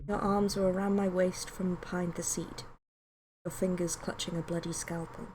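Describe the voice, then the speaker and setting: A woman's voice: lowish voice, level tone, normal speed, noisy background. A British woman streaming a game, speaking casually and informally as a live-stream host. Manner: chatty, informal. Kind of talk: casual live-stream chat with read-aloud story narration.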